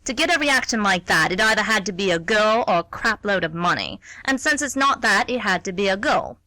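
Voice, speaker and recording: A woman's voice: mid-range voice, lively intonation, fairly quick, pushed and bright, no room sound. A woman acting a sardonic, meddling older woman in a radio play, with a dry, blunt, wry delivery. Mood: wry, teasing. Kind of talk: stage-play dialogue read as radio theatre.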